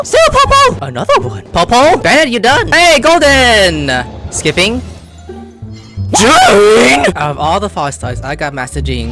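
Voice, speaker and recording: Animated gaming streamer with wildly swinging pitch. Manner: exclamatory, swings from muttered asides to high squeals; slangy, playful, talks to the game characters. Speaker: gaming YouTuber and host. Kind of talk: excited gameplay commentary, casual chat.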